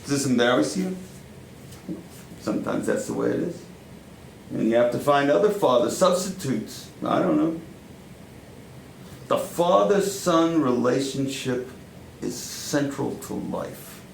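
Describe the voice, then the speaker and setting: Man acting gravelly New power lawyer, fatherly. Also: medium pitch, expressive. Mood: reflective, probing. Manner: deliberate, emphatic, persuasive. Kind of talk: dramatic stage monologue. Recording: roomy echo, faint background noise.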